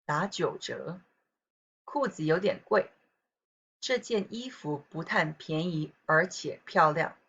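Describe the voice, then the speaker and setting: A woman teacher with a southern Taiwanese accent and a low, husky voice. She reads practice sentences slowly and evenly and breaks off for relaxed remarks to students, on a dull lo-fi recording. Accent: southern Taiwanese Mandarin. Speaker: Chinese language teacher. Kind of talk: recorded pronunciation lesson.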